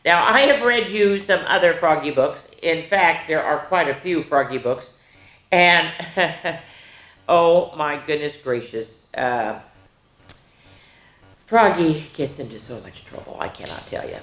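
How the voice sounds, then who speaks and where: A woman's voice: deep voice, lively intonation, a little slow, fairly bright tone. A woman hosting children's story time, reading picture books expressively and talking directly to young listeners with casual asides. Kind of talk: picture-book read-aloud with casual asides. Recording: roomy echo, faint background noise.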